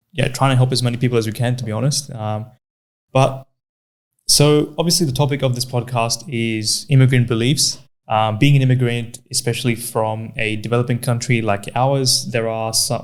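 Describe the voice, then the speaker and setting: Man, twenties, from Pakistan, Urdu first language, easygoing, encouraging podcast host. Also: medium pitch. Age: 20s. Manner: easygoing and encouraging, with frequent "yeah" backchannels. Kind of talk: casual podcast chat.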